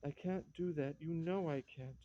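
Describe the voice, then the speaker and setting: An actor playing a weak, harried husband in a classic stage drama, exasperated and hesitant. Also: male voice, fairly high pitch, normal speed, lo-fi sound, natural voice. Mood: helpless. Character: weak, harried husband, henpecked by his mother.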